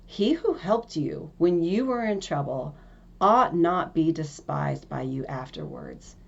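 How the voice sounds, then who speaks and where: A woman's voice: low voice, big pitch swings, takes it slowly, creaky, breathy edge, muffled recording, pitched below the performer's own voice, full character voice. A woman reading a fairy tale as a stern, fatherly, commanding king.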